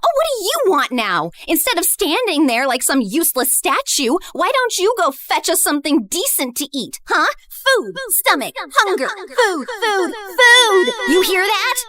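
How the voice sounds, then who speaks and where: A woman's voice: very high-pitched, sing-song, normal speed, few pauses, pushed and bright, voice pushed up, exaggerated voice. A woman voicing a bitter, spiteful young woman who sneers and spits accusations in a told story. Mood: mocking.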